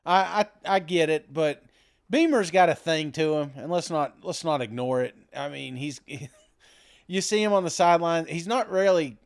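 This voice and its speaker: A man's voice: fairly high pitch, normal speed, smooth. A man co-hosting a casual sports podcast, talking in a folksy, rambling, self-deprecating way. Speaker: podcast co-host.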